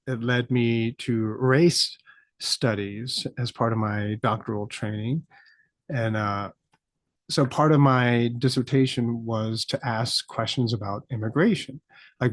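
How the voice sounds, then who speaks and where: A middle-aged American man hosting a solo podcast, talking reflectively and thinking aloud with many pauses and fillers. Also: medium pitch, no echo. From United States. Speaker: podcast host.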